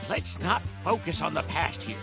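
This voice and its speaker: A boastful Southern cowboy showman announces in a creaky voice that swings widely in pitch. He is folksy and hammy, a cartoonish comic-dub salesman over loud music. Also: expressive, moderate pace. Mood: defensive, reassuring.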